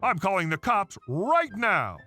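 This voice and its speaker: Male reader voicing an indignant entitled mother, bright and bossy, faint music behind. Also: high-pitched, huge pitch swings, a little slow, full character voice. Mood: shrill, accusing. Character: loud entitled middle-aged mother, accusing and shrill.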